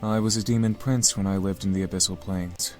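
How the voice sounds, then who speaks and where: Deep-voiced, gentle demon prince played by a man. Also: fairly even intonation, a little fast, faint music behind, lightly acted. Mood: somber. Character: gentle, guilt-ridden young male demon prince. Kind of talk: visual novel voice acting.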